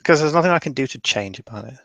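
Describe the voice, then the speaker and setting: A man improvising in character during a tabletop roleplaying session, halting and restarting his phrases as he goes. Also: medium pitch, animated, gravelly, a bit bright, no room sound.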